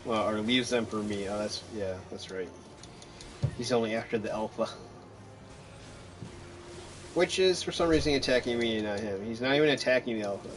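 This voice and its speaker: A man's voice: on the low side, moderate pace, long pauses, over music. A man giving casual, wry, mildly sarcastic commentary as he plays through a game. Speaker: gamer narrating own playthrough.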